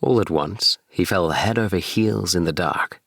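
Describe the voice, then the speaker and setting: A man narrating a bedtime audiobook in a gentle, soothing storytelling manner. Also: deep voice, a little slow, gravelly, no echo, studio quality. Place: small DIY recording booth.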